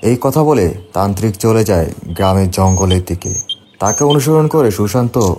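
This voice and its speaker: A man's voice: fairly low pitch, lively intonation, normal speed, mellow, a little hiss or hum. A man reading scripted narration for a horror story.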